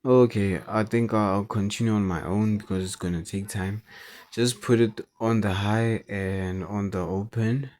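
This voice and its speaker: A man who is not a native English speaker, talking through forex charts in a halting way and repeating words as he goes. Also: fairly low pitch, fairly even intonation, a little mellow. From South Africa. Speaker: forex trader. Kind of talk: chart analysis commentary.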